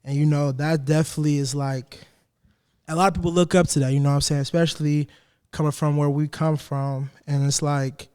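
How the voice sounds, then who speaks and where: Young male co-host, slightly higher voice. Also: fairly quick, clean tone. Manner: relaxed, repeats "you know what I'm saying". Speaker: podcast co-host. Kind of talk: casual interview chat.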